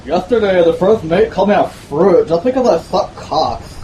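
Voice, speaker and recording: A man's voice: high-pitched, lively intonation, moderate pace, warm tone, slight background noise, pitched above the performer's own voice, rough recording. A man voicing an aggrieved boatswain in a plaintive, lilting voice.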